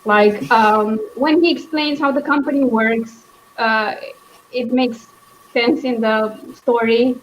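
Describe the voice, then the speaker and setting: A woman with a fairly high voice hosts a casual book chat. She feels her way through her thoughts aloud, hesitating as she goes. Noticeable background noise sits behind her voice. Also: fairly even intonation, slow pace, rough recording. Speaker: book club host.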